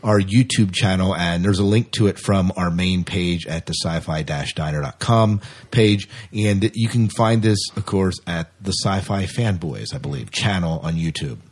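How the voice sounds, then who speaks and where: A man's voice: sits low, normal speed, hardly any pauses, breathy edge. A man hosting a podcast in a casual, chatty way, sometimes repeating himself. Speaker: podcast host.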